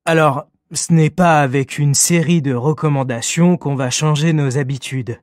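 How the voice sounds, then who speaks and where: A man answering interview questions in a clear, measured, scripted way for a language lesson. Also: a little high, fairly even intonation, no room sound, clean studio sound.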